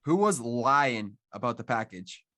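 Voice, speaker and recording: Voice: medium pitch, very animated, a little slow, sharp tone. An actor playing a young streetwise man who asks direct questions in a screenplay table read. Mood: questioning, annoyed.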